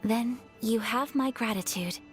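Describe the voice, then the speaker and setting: A refined young noblewoman from a video game, performed by a woman. Her voice sits fairly high, with gracious, formal and measured phrasing, over soft music. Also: lightly acted. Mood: composed, grateful.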